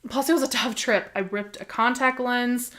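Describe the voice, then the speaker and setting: A woman beauty vlogger, hoarse from a cold, chatting casually and rambling with many ums while she does her makeup at home. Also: a little high, fairly even intonation, moderate pace, fairly bright tone. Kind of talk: casual makeup tutorial chat.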